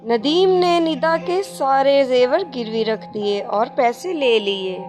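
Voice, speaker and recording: A woman narrates a scripted moral tale. Her voice is high and she reads at a slow, measured pace. Music plays clearly behind the narration. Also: expressive. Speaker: story narrator.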